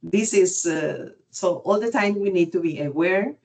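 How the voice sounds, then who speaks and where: A woman from Cuba with a Cuban Spanish accent, speaking as an expert panellist in an unscripted webinar, searching for words in halting non-native phrasing. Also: fairly low pitch, darkish tone. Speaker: genetic counselling expert and panellist. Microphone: video call.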